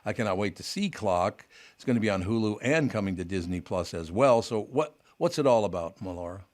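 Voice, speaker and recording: A man's voice: lowish voice, expressive, brisk. A man hosting a radio talk show, chatting jokily and effusively with a guest, often rambling. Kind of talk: casual radio interview.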